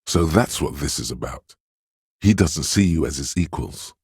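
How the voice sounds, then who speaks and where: An actor voicing a sly inner voice in a video game, reading people and coaching in a measured, knowing, insinuating way with a gravelly, husky delivery. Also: male voice, rumbling low, expressive, darkish tone, dry recording, studio quality, exaggerated voice. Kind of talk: scripted video game narration.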